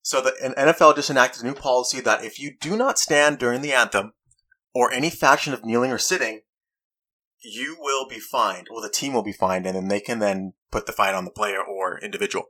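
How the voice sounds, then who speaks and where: A man's voice: mid-range voice, fairly even intonation, brisk, pushed and bright. A young man hosting a casual talk show, earnestly arguing his point and reading quotes aloud. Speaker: podcast host. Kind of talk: casual debate chat.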